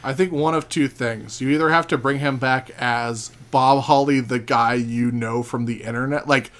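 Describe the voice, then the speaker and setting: Deadpan male podcast guest telling stories. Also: mid-range voice, normal speed. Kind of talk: casual chat, comedic storytelling.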